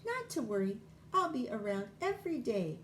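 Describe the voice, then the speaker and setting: A woman's voice: on the high side, very animated, moderate pace, slight background noise, pitched above the performer's own voice. A woman voicing an eager, bossy little female bird schoolteacher in a storybook, exclaiming and insisting. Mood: cheerful. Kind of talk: storybook read-aloud character voice.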